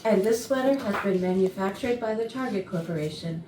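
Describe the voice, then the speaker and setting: A woman's voice: medium pitch, fairly quick, a little mellow, close to an everyday voice. A woman playing a composed, matter-of-fact, literal-minded employee in a staged comedy reading. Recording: echoey rehearsal room, distant, faint noise.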